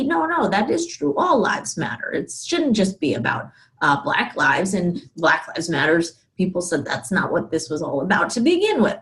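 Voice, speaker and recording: A woman lecturing in a conversational, explanatory way, thinking aloud and often checking in with rhetorical questions. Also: mid-range voice. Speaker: university lecturer.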